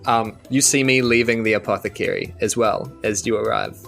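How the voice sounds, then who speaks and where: A man's voice: on the low side, steady tone, moderate pace, creaky, fairly bright tone, faint music behind. A man playing a tabletop game, chatting casually and playfully. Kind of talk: casual improvised game banter.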